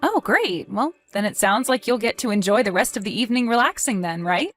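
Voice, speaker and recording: A woman's voice: on the high side, lively intonation, brisk, dry recording, faint music behind. A woman acting an evasive wife in a dramatized story, sweet but easily flustered and indignant, with theatrical expression. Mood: friendly. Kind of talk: dramatized text-message story narration.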